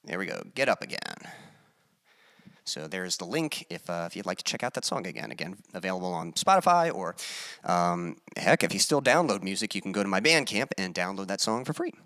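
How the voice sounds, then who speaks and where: A man hosting his own music live stream, chatting casually with viewers and reading their comments aloud, with many pauses and fillers. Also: low-pitched, no room sound. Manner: relaxed, halting, many fillers, reads chat aloud.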